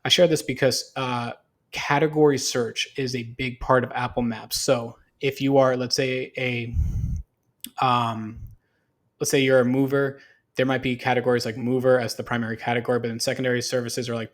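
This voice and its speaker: A man's voice: medium pitch, little pitch movement, normal speed. A man giving a casual, unscripted screen-share tutorial as a marketing consultant, talking through examples in a conversational, explanatory way.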